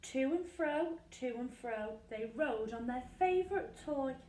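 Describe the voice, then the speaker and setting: A woman reading a rhyming picture book aloud to young children, sing-song and expressive, with dramatic pauses. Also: high voice, slight background noise. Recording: echoey room, distant from mic.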